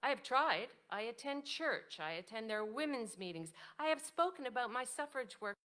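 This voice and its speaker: A woman's voice: a little high, expressive, normal speed, sharp tone. A woman in a staged play reading, playing a lonely, modest old suffragist with an earnest, wistful delivery. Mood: lonely, regretful.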